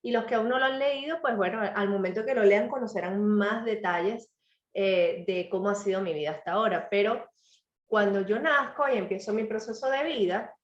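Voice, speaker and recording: A woman speaking, her throat a little hoarse, shares a personal story in a reflective motivational talk. She checks in often with her listeners. The sound is dull and lo-fi, with a roomy echo around her. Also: medium pitch, normal speed. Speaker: author and speaker.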